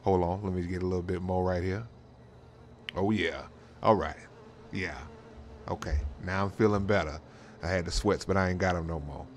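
A man hosting casual game role-play commentary in a slangy, rambling way, leaning on conversational tag phrases. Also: sits low. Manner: slangy, rambling, frequent "you know what I'm saying". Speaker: host of a drag racing role-play channel. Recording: clear background noise.

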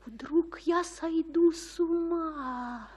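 An actress playing a frightened, lovestruck young noblewoman in a theatrical radio tragedy, breathy and anguished, often sinking toward a whisper. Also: very high voice, drawn-out words, darkish tone, exaggerated voice. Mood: rising dread. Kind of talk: theatrical radio drama monologue.

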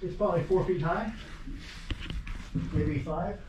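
Calm man echoing from deep in a cave. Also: a little slow, distant mic, muffled recording. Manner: calm guide, gives directions. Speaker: fellow cave explorer. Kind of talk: casual chat. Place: small cave. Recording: echoey cave from off-mic with noise.

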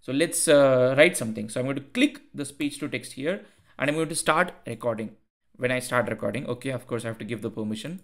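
A man in his thirties with a thick Indian accent, a Tamil speaker, explaining software in a screen-share tutorial over a headset mic. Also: medium pitch, fairly quick. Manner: earnest, repeats phrases for emphasis. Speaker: tech YouTuber.

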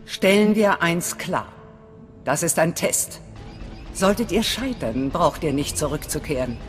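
A woman's voice: on the low side, expressive, measured pace. A woman playing a hard, distrustful resistance fighter in a video game, speaking in a blunt, commanding, menacing way. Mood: cold, commanding. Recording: long reverb, faint music behind.

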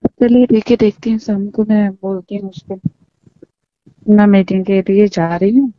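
A woman's voice: mid-range voice, steady tone, moderate pace. A woman talking casually in a private phone conversation, heard on a dull lo-fi call recording.

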